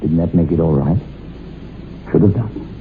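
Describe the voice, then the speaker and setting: A calm, doubting man speaks laconically in a very deep bass. He is measured and unhurried in manner. The old radio recording is muffled, with faint noise. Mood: calm, doubtful. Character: calm, sceptical man listening to a strange tale. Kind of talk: radio drama acting.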